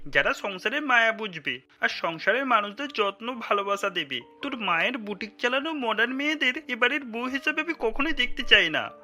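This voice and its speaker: A man's voice: sits high, pushed and bright, heavily acted. A man voicing a kindly, traditional middle-aged father in a cartoon, earnest and sympathetic.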